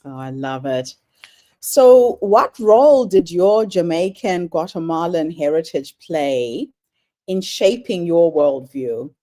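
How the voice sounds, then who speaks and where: A woman's voice: lowish voice, animated, slow pace, mellow. A woman hosting an interview, effusive with her praise and asking questions. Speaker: host.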